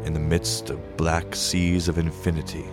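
A man's voice: extremely low pitch, little pitch movement, normal speed, over music. A man performing a solemn, ominous recitation for a horror radio play. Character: ominous, solemn male voice intoning a horror epigraph. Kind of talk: slow dramatic recitation.

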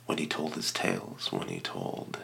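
A man plays a reverent podcast character with an extremely low voice full of heavy fry. He speaks in halting, whispery phrases with a sharp edge, over noticeable background noise. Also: animated, exaggerated voice. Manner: halting, hushed, whispery delivery. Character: reverent, hushed small-town radio devotee and podcaster. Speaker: podcast guest and producer. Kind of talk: improvised comedy podcast character.

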